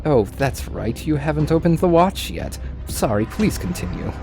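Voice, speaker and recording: A man's voice: on the high side, very animated, normal speed, gravelly, music in the background, voice pushed up. A man voicing a petulant, teasing, all-powerful male trickster being in a story. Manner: petulant, mocking.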